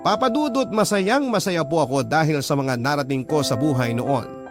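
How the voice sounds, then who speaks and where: A high-pitched, earnest storyteller over music. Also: male voice, lively intonation, moderate pace. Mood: earnest, proud. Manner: earnest, polite, reflective. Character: earnest, hardworking man telling his life story. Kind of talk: dramatised first-person narration for radio.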